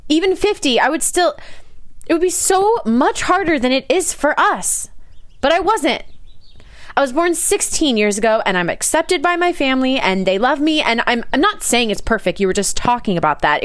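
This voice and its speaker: A woman playing a gentle, thoughtful sixteen-year-old girl with an American accent, talking casually and a little hesitantly in an audio drama. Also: high-pitched. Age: teenager. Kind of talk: naturalistic audio drama dialogue.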